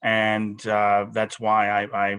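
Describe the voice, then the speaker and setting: A man's voice: fairly low pitch, monotone, slow, a bit bright, clean tone, dry recording. A man running for a school council, answering hesitantly on a video call with many restarts. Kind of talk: candidate forum answer over video call.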